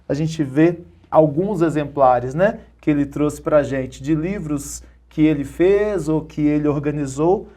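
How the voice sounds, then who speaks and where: A man's voice: a little high, a little mellow. Chatty talk-show host man. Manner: chatty, laughs easily. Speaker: interview host. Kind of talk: interview hosting.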